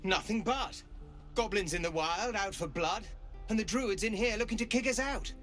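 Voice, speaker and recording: An actor playing a jittery young refugee learning swordplay in a video game, eager and hesitant. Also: male voice, high-pitched, normal speed, fairly bright tone. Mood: worried, discouraged. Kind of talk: video game dialogue acting. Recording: game music behind the voice.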